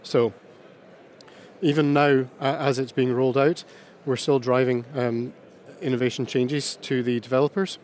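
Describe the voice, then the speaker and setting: A man speaking at an awards panel, halting and full of ums and pauses. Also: mid-range voice, steady tone, a little mellow. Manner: halting, many ums and uhs, slow pauses. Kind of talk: hesitant conference talk.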